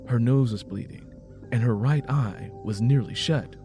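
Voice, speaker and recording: A man's voice: mid-range voice, measured pace, warm tone, faint music behind. A man reading a dramatic story as a scripted audiobook narration.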